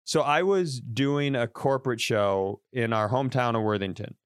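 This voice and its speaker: A man's voice: mid-range voice, moderate pace, clean tone, no room sound, studio quality. A man telling a rambling story on a podcast, swearing and restarting his sentences as he goes. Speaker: comedian podcast host. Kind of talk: casual storytelling chat.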